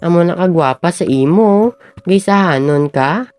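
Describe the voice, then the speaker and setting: Girl acting an earnest schoolboy, low mellow voice. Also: expressive, unhurried, dry recording, soft background music. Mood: concerned. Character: earnest lovestruck schoolboy named Lando. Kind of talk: amateur radio drama acting in Filipino regional language.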